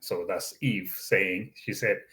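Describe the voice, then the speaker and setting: Man, Arabic first language, slow and deliberate, lowish voice, many fillers. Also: steady tone, bright, forceful tone. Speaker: YouTube channel host. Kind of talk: Bible teaching monologue.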